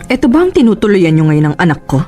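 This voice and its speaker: A woman's voice: mid-range voice, sing-song, racing, a little mellow, soft background music, natural voice. An actress playing a haughty, fault-finding mother-in-law in a radio drama, full of reproach. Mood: disdainful reproach. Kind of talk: Tagalog radio drama acting.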